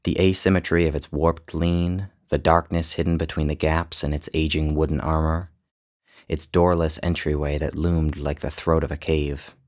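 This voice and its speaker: A man narrating his own literary short story in a measured, descriptive storytelling manner. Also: very deep voice, a little mellow. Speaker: author and narrator.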